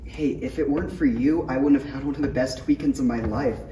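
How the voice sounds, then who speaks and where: A man's voice: medium pitch, brisk, lightly acted. A man playing a cocky but sincere young knight apprentice in a parody table read. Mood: tender confidence. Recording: echoey room, distant mic, faint noise.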